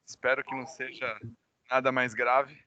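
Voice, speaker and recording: Bright-voiced Brazilian man teaching, with a cold. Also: medium pitch, flat delivery, moderate pace. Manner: informal, conversational, addresses students directly. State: recovering from a cold. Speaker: high school physics teacher. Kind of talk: informal online class announcements. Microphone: microphone.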